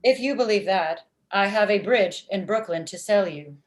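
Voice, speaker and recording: A woman in her thirties playing a blunt, literal-minded, murderous office robot in a comedy table read, with deadpan, formal phrasing. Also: mid-range voice, moderate pace, close to an everyday voice. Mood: deadpan, matter-of-fact. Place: indoor room at home, video call. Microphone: video call.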